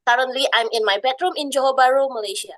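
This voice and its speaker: Brief: playful woman calling in from her bedroom, a touch high-pitched, dry sound. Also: quick pace, a bit bright, no echo. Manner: playful, joking. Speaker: club member. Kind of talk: self-introduction. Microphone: video call.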